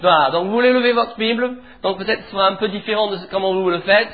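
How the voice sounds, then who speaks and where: A middle-aged man from England, a pastor preaching a sermon in French with an English accent, leading the congregation in animated declarations. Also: sits high, fast. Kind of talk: sermon in church. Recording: tinny phone-like sound with faint music.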